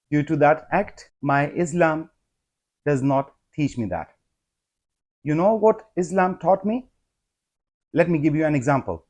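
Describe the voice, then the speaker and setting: Slow, earnest man. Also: on the high side, darkish tone. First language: not English. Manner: earnest, measured, rising to emphatic appeals. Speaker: vlogger. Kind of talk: personal vlog monologue.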